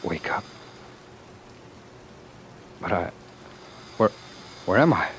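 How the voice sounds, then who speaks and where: A deep-voiced male ruler who has given up his throne for love, weary and defiant. His delivery is theatrical and widely swinging, on a slightly noisy vintage radio recording. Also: big pitch swings, heavily acted. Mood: dazed. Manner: halting, theatrical. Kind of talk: old-time radio drama acting.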